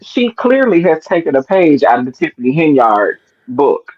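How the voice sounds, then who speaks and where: A man joining a casual livestream chat, speaking in a hesitant, halting way. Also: a little high, very animated, breathy edge, no echo, muffled recording. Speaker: livestream guest.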